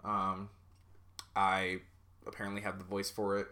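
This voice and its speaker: A man's voice: deep voice, monotone, measured pace, bright, forceful tone. A man hosting a solo podcast, rambling casually and self-deprecatingly with lots of fillers. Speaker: podcast host.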